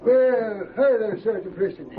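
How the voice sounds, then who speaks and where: High pitched chatty miner, drawly, old radio western, noisy tinny audio. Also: male voice, unhurried. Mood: affable. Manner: rustic, drawling. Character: chatty, folksy gold-prospector card player. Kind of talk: 1950s radio drama acting.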